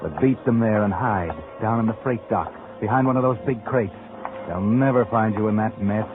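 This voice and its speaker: A man's voice: on the low side, moderate pace, natural voice. A man playing a sinister, taunting narrator in a 1940s radio suspense drama, speaking insinuatingly and urgently to a fugitive. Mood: sly, scheming. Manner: insinuating, urgent second-person address. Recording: tinny old radio, loud music behind.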